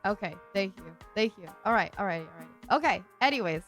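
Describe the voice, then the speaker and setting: A woman live streamer chatting casually with viewers, rambling with lots of fillers, her voice a little deeper than usual today. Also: lively intonation, fairly quick, fairly bright tone, faint music behind.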